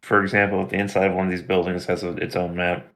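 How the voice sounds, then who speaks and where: A man's voice: low voice, level tone, fast talker, fairly bright tone. A young man chatting casually and joking as a player in a tabletop game, laid-back and confident. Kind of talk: casual chat while playing a tabletop game.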